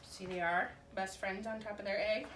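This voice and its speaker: A woman reading a children's story aloud as expressive narration for story time. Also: mid-range voice, normal speed, recorded from a distance. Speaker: storytime reader. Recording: roomy echo with faint background noise.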